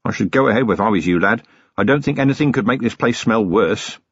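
A man voicing a seasoned, gruff police commander in an audiobook, dry, matter-of-fact and fatherly. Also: lowish voice, animated, quick pace, no room sound, dull audio. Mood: reassuring.

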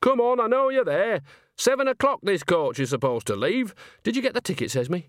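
A man's voice: fairly high pitch, lively intonation, normal speed, no echo, studio quality, pitched above the performer's own voice, close to an everyday voice. A man voicing a chatty, homely, cheeky old woman witch in an audiobook, folksy and rambling. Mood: wheedling, resigned. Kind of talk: audiobook character acting.

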